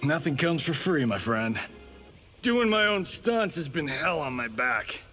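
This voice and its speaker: A man's voice: on the high side, sing-song, unhurried, bright, forceful tone, in a large hall, soft background music, exaggerated voice. An actor voicing a smug, brash male movie star in a video game.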